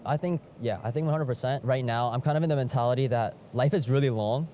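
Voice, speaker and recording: A man's voice: mid-range voice, quick pace, warm tone, slight background noise. A young man talking in an upbeat, eager way in a street interview.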